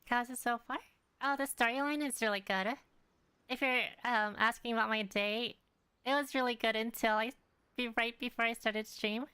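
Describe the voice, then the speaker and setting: A young woman chats casually with her viewers while streaming, pausing often with fillers. Her voice is bright and clear, with close, dry sound. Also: on the high side, steady tone, no room sound. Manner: chatty, hesitant, many fillers. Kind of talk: casual live-stream chat. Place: home streaming setup.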